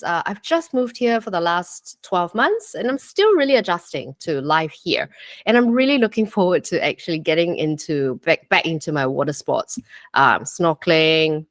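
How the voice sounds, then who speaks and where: A woman's voice: medium pitch, dry recording. A woman in her forties from Singapore, a health coach giving a live online presentation on a video call in an earnest, chatty way, full of fillers and "okay?" check-ins. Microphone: computer mic on a video call.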